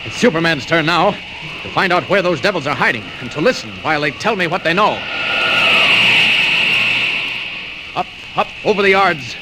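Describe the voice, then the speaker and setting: A male actor plays a confident reporter-superhero from a vintage radio adventure. His delivery is decisive and declamatory, sweeping up and down with heroic flair. Clear hiss and crackle of an old record run underneath. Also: a little high, lively intonation, fairly quick, close to an everyday voice. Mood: heroic, urgent. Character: bold, self-assured male reporter who is secretly a superhero. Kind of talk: 1940s radio serial acting.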